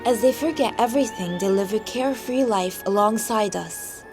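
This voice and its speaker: A woman playing a solemn, grand and possessive island ruler in a dubbed animated film. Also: mid-range voice, moderate pace, natural voice. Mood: grand proclaiming. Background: loud music.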